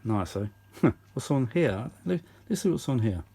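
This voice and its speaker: A man with a British accent and a mid-range voice talks casually as he demonstrates something hands-on. He rambles and mutters asides to himself. Clear background noise and room ambience sit behind his voice. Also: mid-range voice, big pitch swings, unhurried, warm tone. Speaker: vintage audio hobbyist and video host.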